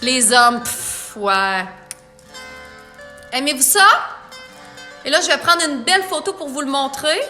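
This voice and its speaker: Brief: chatty Quebec woman, high and bright, live makeup demo, faint music behind. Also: measured pace, long pauses. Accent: Quebec French. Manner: chatty, playful, reads viewer comments aloud. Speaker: makeup artist.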